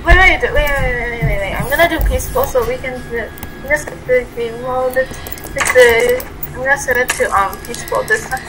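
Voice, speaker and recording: A woman chatting excitably during a game, often repeating her words. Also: high voice, fairly quick, over music. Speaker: gamer playing a role-play game. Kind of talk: casual gameplay chat. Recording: clearly noisy home recording.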